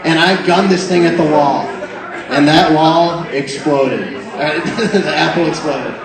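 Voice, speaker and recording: A young man spins a comic tale into a microphone, a touch higher in pitch than most men, laughing as he goes. His voice bounces around a very echoey hall, with a crowd chattering loudly behind him. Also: a little slow. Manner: animated, laughs often while telling. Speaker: storyteller. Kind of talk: comic storytelling to a live crowd. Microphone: microphone into a speaker system. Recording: very echoey room with crowd noise.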